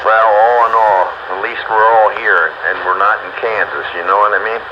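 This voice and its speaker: A man's voice: lowish voice, steady tone, moderate pace. A man chatting casually over two-way radio, folksy and exclamatory. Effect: two-way radio transmission. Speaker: amateur radio net participant. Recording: muffled, noisy ham radio transmission.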